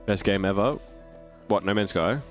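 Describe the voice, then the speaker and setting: A man with a croaky, flu-hoarse voice chatting casually while streaming a game and greeting viewers. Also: lowish voice, moderate pace, soft background music. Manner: rambling, reads out chat and greets viewers. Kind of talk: casual gameplay stream chat.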